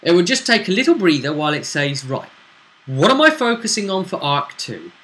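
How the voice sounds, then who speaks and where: A man's voice: sits high, very animated, a little fast, sharp tone. A man hosting as game master, chatting casually as he recaps a tabletop roleplay campaign. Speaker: game master and show host.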